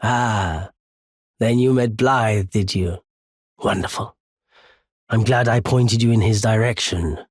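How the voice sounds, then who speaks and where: A very husky, lowish male voice for a wandering merchant in a video game. He speaks slowly and politely, with weary understatement and a quietly unforgiving streak. The recording is dry and close. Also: dry recording. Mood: wry, friendly. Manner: measured, polite, wry, understated. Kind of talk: video game character dialogue.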